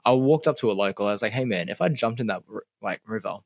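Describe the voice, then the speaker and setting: A man's voice: fairly low pitch, expressive, quick pace, no echo. A man in his twenties from Australia, telling stories in slangy casual chat. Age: 20s. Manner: storytelling, slangy.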